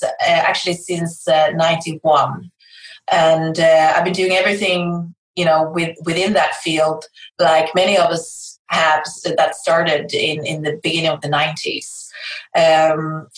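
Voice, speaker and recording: A woman's voice: lowish voice, echoey room, low-quality recording. A middle-aged woman from Sweden with a Swedish accent, a guest telling her story hesitantly with many restarts, her first language being Swedish. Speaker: guest, fitness and yoga educator. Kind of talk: interview, personal story. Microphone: video call.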